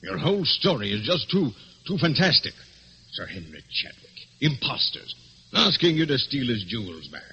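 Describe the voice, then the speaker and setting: An actor playing a stuffy, gullible middle-aged police inspector with old-fashioned phrasing, in old-time radio drama style. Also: male voice, mid-range voice, very animated, moderate pace, pushed and bright, slightly rough, heavily acted. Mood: scornful. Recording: dull, noisy vintage radio recording.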